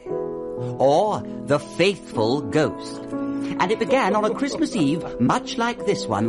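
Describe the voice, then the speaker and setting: A genial Victorian gentleman tells a ghost story in a radio play. His English-accented voice is fairly high and expressive, theatrical and measured, over clear music. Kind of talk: radio drama monologue.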